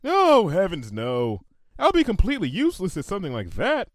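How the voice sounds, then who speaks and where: A man's voice: sits high, huge pitch swings, brisk, no room sound, studio quality, voice pushed up. A man voicing a scheming, self-assured female unicorn pony in a storybook reading, musing in a theatrical, declamatory way. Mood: smug, eager. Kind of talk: fan fiction storybook character voice.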